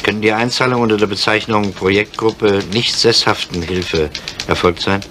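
An actor playing a gruff, sceptical older inspector in a vintage crime drama, questioning people in a dry, matter-of-fact way. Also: male voice, fairly low pitch, fairly even intonation, moderate pace, close to an everyday voice. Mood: probing. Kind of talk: 1970s German television crime drama acting. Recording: noisy vintage drama soundtrack.

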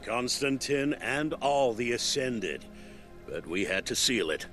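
A pretentious mocking cult artist in a video game, super theatrical, pitch all over the place. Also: male voice, lively intonation, slow pace, fairly bright tone, faint music behind. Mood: grandiose, knowing. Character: mocking, pretentious cultist artist, talking severed head of a man. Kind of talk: video game cutscene acting.

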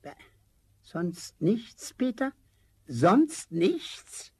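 A tiny old glass spirit of the forest for a fairy-tale radio play. The voice is thin, high and cracked with creak, swooping wildly in pitch as he chides in a kindly, grandfatherly way. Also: very animated, drawn-out words, a little mellow, heavily acted. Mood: exasperated scolding. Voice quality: thin, delicate, raspy. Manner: chuckling, chiding, grandfatherly.

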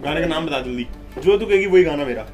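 Young indian guy, high voice, casual chat in a home vlog, music behind him. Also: lively intonation, fast talker, darkish tone. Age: young adult. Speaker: challenge participant. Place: small home bedroom.

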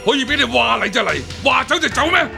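An actor with a Hong Kong Cantonese accent playing a domineering, scornful older official who scolds others in a radio drama. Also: male voice, extremely high pitch, animated, fast, vocal fry, over music, heavily acted. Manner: imperious, scolding.

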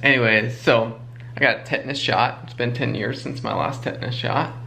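A man's voice: mid-range voice, a little fast, creaky, a little hiss or hum. A man in his thirties, a vlog co-host, chatting casually and a bit ramblingly at his kitchen table. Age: 30s. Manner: relaxed, rambling, restarts his sentences. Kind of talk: casual chat.

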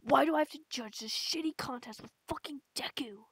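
A woman's voice: high-pitched, brisk, no room sound. A woman voicing a hot-tempered, scornful teenage boy in an amateur anime comic dub, with an aggressive delivery. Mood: scornful, annoyed. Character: gruff, hot-tempered, foul-mouthed teenage boy bully.

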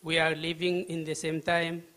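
An older man from Ethiopia speaking up from the audience at a conference, with a handheld microphone, halting and full of filler sounds but earnest and pressing. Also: fairly high pitch, completely flat delivery, pushed and bright. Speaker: audience member asking a question. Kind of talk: public question from the floor. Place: vaulted conference hall with audience.

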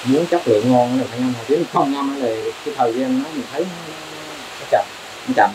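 A middle-aged farmer talks quickly and plainly from an open-sided shed, rambling through his practical explanations. The camera mic picks him up from a few meters away. Steady background noise from the farm sits under his voice. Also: mid-range voice. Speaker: shrimp farmer. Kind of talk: casual on-site interview. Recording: noisy outdoor farm recording.

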